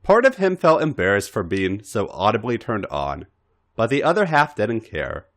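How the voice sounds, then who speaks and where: A man narrates a piece of adult fiction in a slightly low voice. His delivery is theatrical and swings widely in pitch, as he acts out the dialogue. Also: wildly swooping pitch, normal speed. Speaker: podcast reader.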